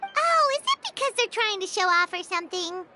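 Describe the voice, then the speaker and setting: A woman voicing a tiny, curious, childlike fairy companion in a video game, with a squeaky voice and bubbly, eager manner. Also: very animated, normal speed, music in the background. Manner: bubbly, eager, speaks of self in third person.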